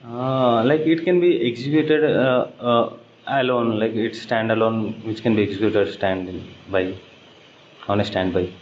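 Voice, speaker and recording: A man's voice: fairly low pitch, steady tone. Hesitant man on a muffled, echoey call. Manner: hesitant, halting. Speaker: job candidate. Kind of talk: answering technical interview questions. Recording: dull, echoey, noisy call recording.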